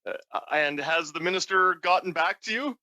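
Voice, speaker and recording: Higher-voiced man questioning formally. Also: moderate pace, bright, forceful tone, dry recording, muffled recording. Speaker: member of parliament questioning a witness.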